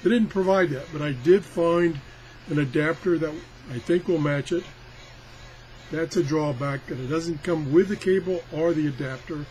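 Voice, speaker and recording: A man's voice: on the high side, normal speed, a little mellow, slight background noise, low-quality recording. A man talking casually through a hands-on product test, rambling along in a folksy, self-deprecating way. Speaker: product tester presenting a radio review. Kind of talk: casual hands-on demo chat.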